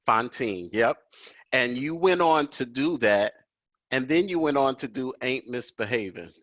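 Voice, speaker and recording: A man's voice: medium pitch, lively intonation, unhurried, fairly bright tone. A male host speaking in an ordinary middle register, admiring and deferential toward his guest. His voice comes through a dull, slightly lo-fi call line. The sound is dry, with no room echo. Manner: admiring, deferential, says "yes, ma'am". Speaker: talk show host. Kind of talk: remote video interview. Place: at home. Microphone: video call.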